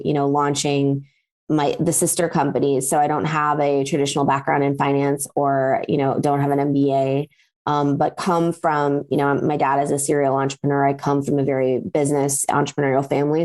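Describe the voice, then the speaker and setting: Low-voiced woman with an American accent. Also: a little mellow. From Pakistan. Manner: fluent with frequent ums and restarts. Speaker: guest, venture capital partner. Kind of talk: conversational interview answers.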